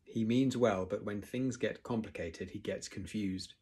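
A man voicing a gentle, sad old Viking man giving kindly counsel in a storybook. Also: fairly low pitch, unhurried, slightly rough.

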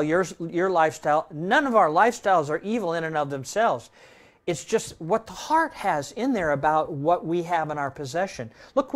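Older man preaching, slightly high voice, casual tag questions, loud and soft swings. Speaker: pastor. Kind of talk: sermon. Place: church room with projector screen.

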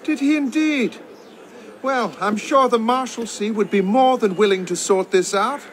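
An actor playing a haughty, disapproving older manservant in a video game. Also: male voice, high voice, measured pace. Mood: indignant. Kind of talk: video game cutscene acting.

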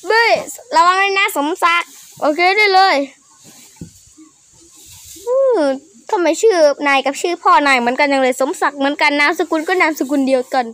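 A child improvising character voices for a cartoon skit, excitable and full of repeated exclamations. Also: sits high, moderate pace, no echo. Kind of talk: improvised voicing of characters in a game-animation skit.

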